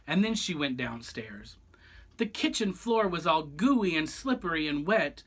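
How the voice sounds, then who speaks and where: A man's voice: a little high, sing-song, a little slow. A man reading a picture book aloud expressively and theatrically, with chatty asides straight to the listeners. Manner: theatrical, addresses listeners directly. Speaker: storyteller.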